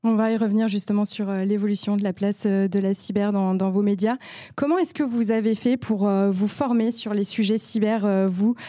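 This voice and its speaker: A woman's voice: medium pitch, fairly even intonation, a little fast, dark tone, no room sound. A woman hosting a talk show, moderating the panel and asking questions with some fillers.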